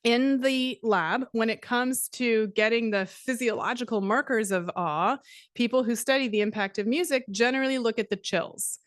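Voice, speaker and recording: A middle-aged woman from Canada giving a conference talk, measured and steady, with frequent fillers. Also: on the high side, no room sound, clean studio sound. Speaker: conference speaker. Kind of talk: academic presentation.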